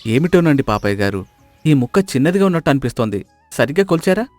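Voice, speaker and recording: A man's voice: fairly high pitch, big pitch swings, fast, darkish tone, no room sound, faint music behind. A man playing a naive, deferential village fellow in a moral story, speaking politely and apologetically. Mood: polite, doubtful.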